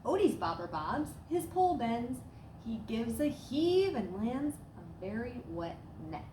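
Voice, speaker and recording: A woman reading a children's storybook aloud as a storyteller, with expressive, dramatic emphasis. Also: on the high side, unhurried. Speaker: staff storyteller. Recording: roomy echo, clear background noise.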